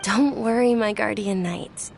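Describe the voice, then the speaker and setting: A woman voicing a gentle, frail young noblewoman in an anime video game, soft-spoken, kind and courteous. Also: medium pitch, measured pace, vocal fry. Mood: kind, apologetic. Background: game music.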